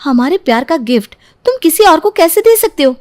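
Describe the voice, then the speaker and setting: Woman putting on a high, girlish, emotional young bride. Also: big pitch swings, a little fast. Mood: suspicious, upset.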